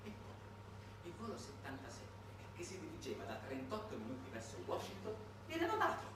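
A man performing a dramatic storytelling monologue, emphatic and sardonic, with halting pauses. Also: fairly high pitch, wildly swooping pitch, fairly bright tone. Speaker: stage monologue performer and narrator. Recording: echoey room, distant mic, noisy background.

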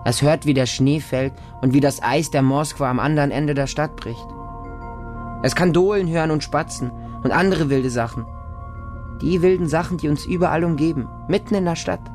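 A man's voice: a little high, normal speed, a little mellow, faint music behind, lightly acted. An actor playing a distrustful feral orphan boy raised by dogs, narrating a radio drama in short, insistent, repetitive childlike sentences. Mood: brooding, wondering. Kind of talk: radio drama monologue, first-person narration.

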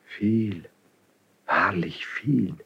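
Husky, anxious young prince. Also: male voice, lowish voice, extremely slow, sharp tone, lo-fi sound, full character voice. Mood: wary, restrained. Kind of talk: classical German verse radio drama acting.

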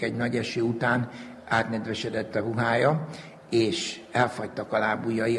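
Male museum director, medium pitch, measured formal exposition, long hall reverb with faint noise. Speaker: museum director being interviewed.

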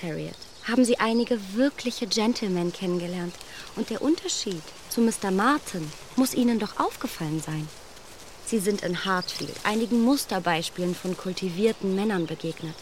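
An actress playing a proud, snobbish young gentlewoman in a radio play, speaking in a poised, condescending and emphatic way. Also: mid-range voice, background noise, lightly acted. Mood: cool disdain.

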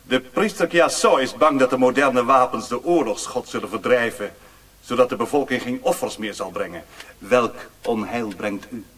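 A man playing a courteous, commanding Chinese lord in a radio play, speaking in a formal, measured, stately manner. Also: medium pitch, a bit bright, slightly rough, no echo. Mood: probing. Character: courteous, commanding Chinese lord, curious about Western science. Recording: hissy old radio play recording.

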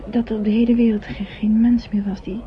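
A woman acting an earnest, devoted young woman in an old radio drama, with soft, halting, often half-whispered delivery on a muffled recording. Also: on the high side, level tone, slight background noise, lightly acted. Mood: halting grief.

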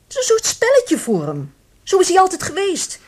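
A woman playing an anxious, insistent middle-aged mother in a radio drama, speaking haltingly and with feeling. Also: extremely high pitch, wildly swooping pitch, darkish tone, full character voice. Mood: anxious, defensive. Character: anxious middle-aged mother defending her troubled son. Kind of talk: Dutch radio drama acting.

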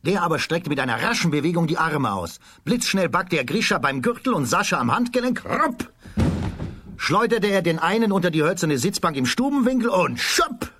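A man narrating a children's tale in standard German, with a husky voice and expressive, scripted storytelling delivery. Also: on the high side, normal speed. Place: dry studio.

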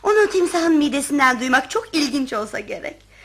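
An actress playing a vain, flirtatious society wife in a radio comedy, chattering coquettishly. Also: female voice, high-pitched, big pitch swings, brisk. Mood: scheming, eager. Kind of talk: radio theatre comedy acting.

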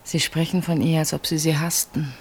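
A woman's voice: low-pitched, flat delivery, normal speed, a little mellow, breathy edge. Earnest, troubled woman confidante. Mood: concerned, questioning. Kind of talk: German radio play acting.

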